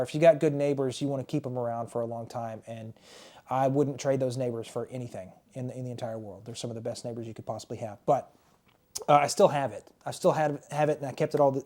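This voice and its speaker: A man's voice: medium pitch, mellow. Enthusiastic man showing off retro games. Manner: chatty, enthusiastic, repeats words. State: losing his voice. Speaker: retro game collector and YouTuber. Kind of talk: casual show-and-tell vlog.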